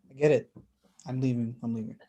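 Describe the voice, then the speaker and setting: A man's voice: mid-range voice, fairly even intonation, a little fast, mellow, close to an everyday voice. A man playing a defensive, wounded blind brother in a staged play reading, blunt and sarcastic. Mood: hurt, resigned.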